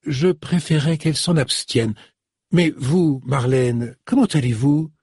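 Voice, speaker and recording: A man's voice: on the high side, darkish tone, husky, no echo, clean studio sound. A man voicing a polite, composed middle-aged woman in an audiobook, in a slightly raised voice. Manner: courteous, measured.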